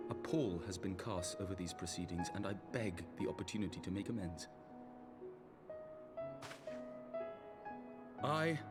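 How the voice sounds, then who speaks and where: A man's voice: mid-range voice, natural voice. An actor playing an earnest, troubled young nobleman in a video game, pleading formally and dramatically. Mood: contrite, pleading. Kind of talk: scripted video game cutscene acting. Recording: music behind the voice.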